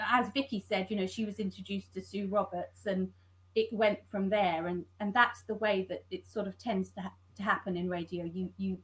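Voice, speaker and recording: Woman on a video call panel, earnest, keeps repeating words, halting. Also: medium pitch, low-quality recording. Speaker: scriptwriter on a panel. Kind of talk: casual panel discussion.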